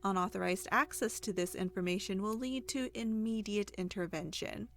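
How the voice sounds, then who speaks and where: A woman's voice: mid-range voice, normal speed, heavy background noise, close to an everyday voice. A woman playing an impersonal announcer of classified-file warnings in an audio drama, formal and detached. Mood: detached, stern.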